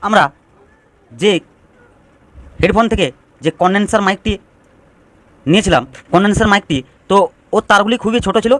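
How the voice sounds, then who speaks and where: A man's voice: high-pitched, a bit bright, no echo. A man giving an informal step-by-step DIY electronics tutorial, explaining and restating phrases as he goes.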